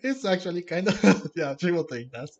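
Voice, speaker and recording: A man's voice: sits high, animated, normal speed, darkish tone, lo-fi sound. A man streaming gameplay with casual commentary, musing aloud in hesitant, unfinished phrases.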